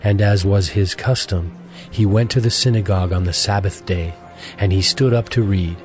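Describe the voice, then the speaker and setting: Male narrator, lowish pitch, formal measured scripture reading, music underneath.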